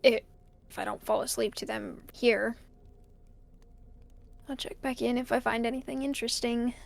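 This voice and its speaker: A woman playing a weary, lonely college student recording a halting personal log in an audio drama. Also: on the high side, fairly even intonation, vocal fry. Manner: hesitant, halting, sighing. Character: weary, lonely college student stuck alone in a snowbound house.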